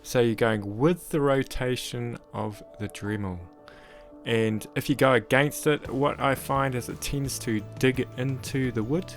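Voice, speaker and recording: A man with a croaky voice casually narrating a woodcarving tutorial in voice-over, rambling with lots of "sort of, like". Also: mid-range voice, steady tone, a little slow, faint music behind. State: croaky voice after illness. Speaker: woodcarving channel host.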